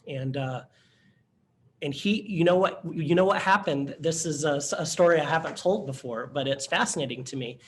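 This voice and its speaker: A man's voice: a little high, moderate pace. A man presenting a webinar to instructors, speaking earnestly and conversationally with frequent fillers and restarts. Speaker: workshop presenter.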